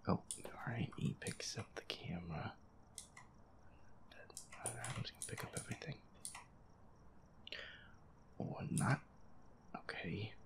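Man playing a gravelly, half-whispering, grumbling werewolf boyfriend. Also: lowish voice, full character voice. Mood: grumbling, resigned. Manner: grumbling, sweary, muttering to himself. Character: feisty, foul-mouthed, reluctant young werewolf boyfriend playing a horror game. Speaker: audio roleplay performer. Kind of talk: casual gameplay commentary, audio roleplay.

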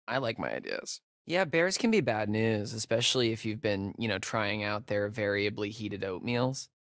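An actor playing a wisecracking, jittery young American camp counselor in a horror video game, with slangy, hesitant, sarcastic delivery. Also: male voice, fairly low pitch, unhurried, fairly bright tone, no echo, studio quality. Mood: wry banter. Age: young adult. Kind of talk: naturalistic horror game acting.

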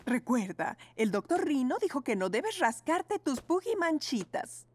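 A woman voicing a caring, gently firm mother pug in a children's cartoon dub. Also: high voice, very animated, unhurried.

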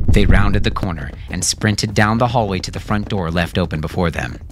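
A man with a low voice narrates dramatic fiction in a scripted audiobook style. Slight background ambience noise sits behind his reading.

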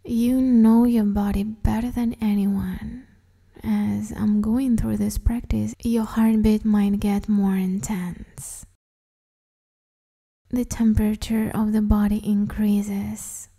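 Slow, breathy, half-whispering woman guiding meditation. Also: medium pitch, little pitch movement. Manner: soothing, gently instructing. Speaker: meditation guide, host. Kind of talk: guided meditation, hypnosis-like.

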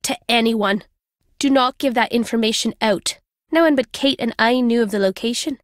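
A woman voicing a guilt-ridden, earnest middle-aged former journalist in a video game, in a measured, confessional way. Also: fairly high pitch, no room sound, clean studio sound, lightly acted. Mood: guarded. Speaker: voice actor.

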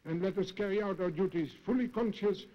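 A man's voice: high-pitched, brisk, a little hiss or hum. A man from the Netherlands reading a formal speech in a solemn, oratorical manner as presiding diplomat. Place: assembly hall podium. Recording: dull 1950s newsreel archive sound.

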